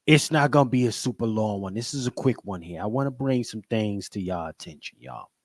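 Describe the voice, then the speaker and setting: A man's voice: on the low side, lively intonation, brisk. A man hosting a podcast livestream in a casual, emphatic way, repeating his greetings and catchphrases. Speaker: podcast host.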